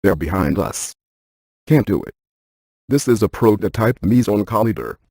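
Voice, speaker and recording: A man playing a brainy, stiff ghost-hunting scientist in a video game, speaking in a precise, clinical deadpan. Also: on the low side, moderate pace, vocal fry, breathy edge, dry recording, heavily acted. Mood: urgent, clipped.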